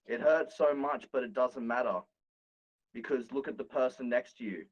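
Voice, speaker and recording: Casting: man, medium-pitched, sweary joker for loose podcast banter and amateur poetry reading. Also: moderate pace, muffled recording. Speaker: podcast guest reading own poem.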